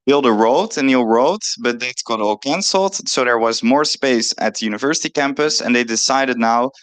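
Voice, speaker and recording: Halting young man on a panel. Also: medium pitch, fairly bright tone, clear, resonant tone, no echo. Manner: halting, many fillers and restarts. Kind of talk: panel talk.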